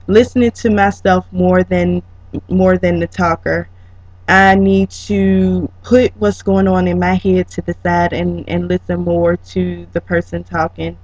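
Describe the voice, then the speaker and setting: A student presenter giving a prepared, reflective slide presentation, speaking haltingly with drawn-out pauses in mid-sentence. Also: mid-range voice. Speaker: student presenter, educator training as a counselor. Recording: dull lo-fi sound with room echo.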